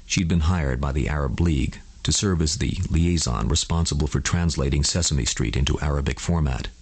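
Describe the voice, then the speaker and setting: Very deep, husky male storyteller. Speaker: storyteller, narrator. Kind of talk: scripted bedtime story narration for adults.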